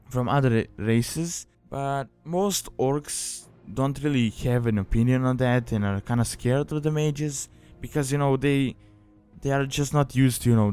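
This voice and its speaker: A man's voice: medium pitch, darkish tone, smooth. A young man narrating a gaming guide in an informal, rambling, conversational way. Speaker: video guide host. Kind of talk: casual explanatory gaming guide voice-over. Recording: music behind the voice. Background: background music.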